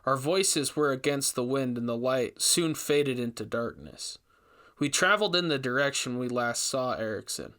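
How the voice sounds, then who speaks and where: Man acting as Daniel Blake, measured investigator narrator. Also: medium pitch, animated, pushed and bright. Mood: tense, recounting. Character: Daniel Blake, male investigator recounting a frightening ordeal. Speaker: narrator reading a story. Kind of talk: dramatic first-person scripted narration.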